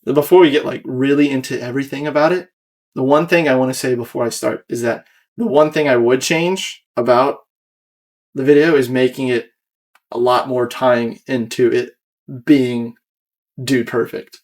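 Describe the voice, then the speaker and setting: A man's voice: medium pitch, steady tone, normal speed. A young American man hosting a casual podcast, explaining his own creative work in a rambling, self-critical way. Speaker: podcast host and video creator.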